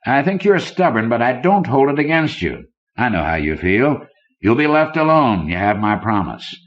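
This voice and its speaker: A man voicing a smug, ruthless banker villain in an audiobook, oily and smoothly menacing. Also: medium pitch, very animated, normal speed, pitched above the performer's own voice, natural voice. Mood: oily condescension. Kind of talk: audiobook character dialogue. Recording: dull lo-fi old cassette recording.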